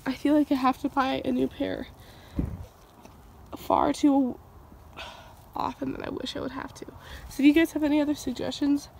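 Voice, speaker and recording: A woman's voice: high-pitched, creaky, a little mellow, a little hiss or hum. A young woman chatting casually to her phone camera outdoors at a horse barn, stuffed up and still getting her voice back after losing it, rambling with lots of "like". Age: young adult. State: stuffed up, recovering from lost voice. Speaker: equestrian vlogger. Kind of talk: casual vlog chat. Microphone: phone camera held at arm's length.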